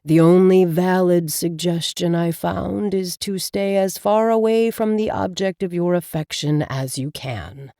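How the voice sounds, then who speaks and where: A woman voicing a concerned male talking cat, gentle and slow. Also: on the low side, no echo, studio quality.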